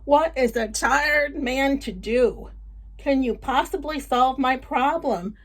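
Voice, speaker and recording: A woman's voice: high voice, slow pace. A woman storyteller voicing a weary man who complains miserably that he cannot sleep. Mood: complaining.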